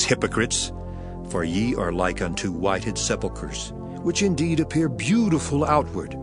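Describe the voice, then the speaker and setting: A stern, righteous prophet delivers deliberate, declamatory rebukes in a dramatized scripture reading. His pitch rises and falls dramatically, and music plays clearly beneath him. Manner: declamatory, deliberate archaic oratory. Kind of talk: dramatized King James scripture performance. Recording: music under the voice.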